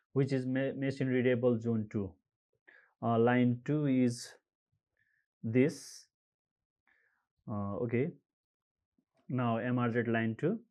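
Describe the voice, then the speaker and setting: A man from Nepal narrates a screen-recorded tutorial, moving at an unhurried, deliberate pace. He fills gaps with frequent uh and um and reads letters and numbers out one by one. His voice sits at an ordinary middle pitch, close to a desktop mic. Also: lo-fi sound. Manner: halting, frequent uh and um fillers, reads out codes slowly. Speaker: tutorial presenter. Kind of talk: screen-recorded how-to walkthrough.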